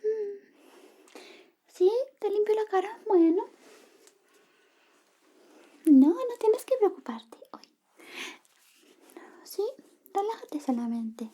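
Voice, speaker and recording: A woman acts out a young female best friend, secretly in love, in an ASMR roleplay. The voice is high and very mellow, slipping between murmur and whisper. The delivery is slow, coy and teasing, with a caring streak. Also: animated, full character voice. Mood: caring, soothing. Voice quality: soft, whispery, high-pitched. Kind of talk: ASMR personal attention roleplay.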